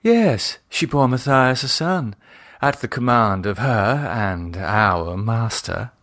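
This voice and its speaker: Audiobook role: male reader as arch aristocratic female cult leader, refined, self-satisfied, very varied pitch. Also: animated, takes it slowly, a bit bright. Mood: proud. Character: poised, haughty aristocratic woman, playful cult leader. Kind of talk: audiobook character acting.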